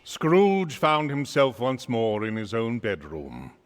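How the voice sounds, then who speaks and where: A man's voice: mid-range voice, wildly swooping pitch, slow pace, slight background noise. A man narrating a radio drama with theatrical, scripted storytelling. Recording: long hall reverb.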